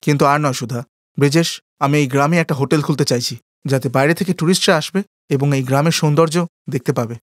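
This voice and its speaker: Cartoon city brother-in-law, male actor: well-off, demanding, expressive, slightly raised pitch, dry sound. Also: fast talker, dry recording, close to an everyday voice. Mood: firm. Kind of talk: cartoon moral story acting.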